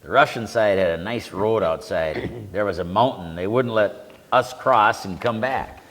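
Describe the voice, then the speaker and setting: An older veteran bluntly recounting war memories, with salty language. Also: male voice, on the low side, unhurried, fairly bright tone. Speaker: veteran panelist. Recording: reverberant community TV studio.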